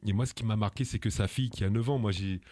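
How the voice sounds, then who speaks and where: A man's voice: lowish voice, fast, a little mellow, no echo, studio quality. A man from France, an activist guest on a radio interview, speaking earnestly and indignantly with many hesitations, fillers and restarts.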